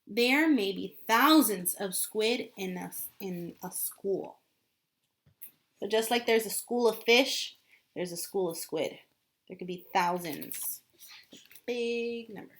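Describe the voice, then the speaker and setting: A woman's voice: mid-range voice, slow, fairly bright tone. A woman teacher reading a book aloud as a lesson, repeating key points and adding simple explanations for children.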